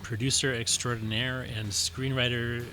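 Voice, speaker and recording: A man's voice: medium pitch, level tone, normal speed, pushed and bright, low-quality recording. A man hosting a podcast about writing, talking casually and explaining his points through leading questions. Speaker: podcast host. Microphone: studio microphone.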